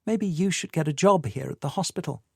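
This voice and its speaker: A man's voice: fairly high pitch, a little fast, gravelly, darkish tone, breathy edge, no echo, clean studio sound, voice pushed up. A man reading aloud, voicing a cool, evasive young woman patient who speaks in a dismissive, sarcastic way.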